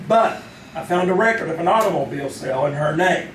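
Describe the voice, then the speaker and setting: An actor playing an aggrieved, sarcastic police captain with emphatic delivery in a live old-time radio drama. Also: male voice, on the high side, animated, fast, close to an everyday voice. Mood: matter-of-fact, smug. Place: theater stage, performed live. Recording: distant, echoey live stage, faint noise.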